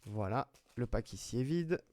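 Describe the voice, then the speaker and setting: A man's voice: medium pitch, lively intonation, moderate pace, darkish tone, no echo. A man hosting a trading card break, chatting casually and announcing each player pulled as he opens packs. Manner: chatty, announces player names one by one, fillers and restarts. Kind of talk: live commentary while opening card packs.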